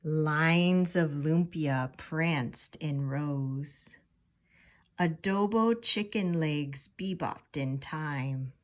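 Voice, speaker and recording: Slow, expressive woman reading a children's story. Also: deep voice. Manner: expressive, deliberate storytelling delivery. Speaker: storybook reader. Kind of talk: scripted children's story read-aloud.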